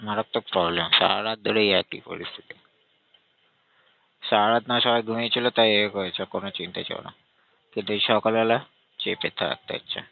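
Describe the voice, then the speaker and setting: A man with a gravelly voice chatting casually and intimately, in a complaining, coaxing way, over a tinny phone line. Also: lowish voice, steady tone, a little fast, long pauses.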